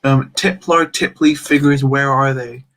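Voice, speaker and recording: A man's voice: mid-range voice, steady tone, unhurried, a bit bright, slight background noise. Rambling young man chatting on a livestream. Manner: rambling, answers chat comments, frequent restarts. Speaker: livestream host. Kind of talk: casual livestream chat while building.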